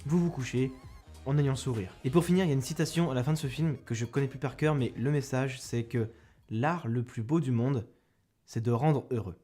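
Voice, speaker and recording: A man's voice: medium pitch, a little fast, dark tone, faint music behind. A young man giving a casual spoken film review, rambling and self-mocking with many restarts, close to a microphone.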